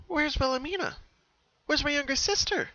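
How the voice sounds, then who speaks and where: A man's voice: very high-pitched, normal speed, sharp tone, no room sound, lo-fi sound, pitched above the performer's own voice. A man voicing an anxious, earnest young female cartoon pony in an emotional, pleading manner. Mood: puzzled.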